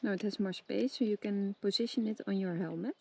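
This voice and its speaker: A woman presenting a casual hands-on tutorial, giving simple step-by-step instructions with hesitant pauses. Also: medium pitch. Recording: clearly noisy background with clicks.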